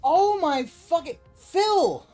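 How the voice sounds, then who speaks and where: A streamer giving live reaction commentary on a video, ranting and cursing, sometimes breaking into loud yelling. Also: extremely high pitch, lively intonation, slow pace, soft background music.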